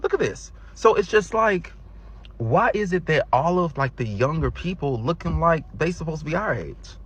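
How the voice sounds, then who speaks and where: A man of thirty on a casual, incredulous rant, halting and peppering it with "like". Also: a little high, lively intonation, husky, poor audio.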